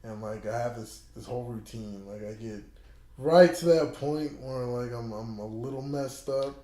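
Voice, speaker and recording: A man's voice: medium pitch, normal speed. A young man, a fighter guest on a podcast, telling loose, rambling stories and poking fun at himself. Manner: relaxed, rambling storyteller who jokes about himself. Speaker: podcast guest, mixed martial arts fighter. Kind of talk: casual podcast chat. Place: podcast studio. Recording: roomy studio echo.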